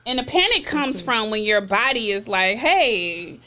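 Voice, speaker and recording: A woman's voice: fairly high pitch, very animated, measured pace, pushed and bright. Conversational woman hosting a group chat. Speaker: host, therapist. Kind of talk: casual group conversation, explaining.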